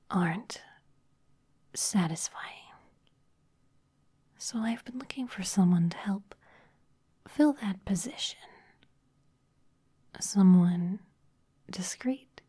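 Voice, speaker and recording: A woman's voice: fairly low pitch, takes it slowly, long pauses, mellow, husky, full character voice. A woman playing a seductive, domineering vampire office boss in an ASMR roleplay, whispering breathily in a teasing, commanding manner. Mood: coaxing, seductive.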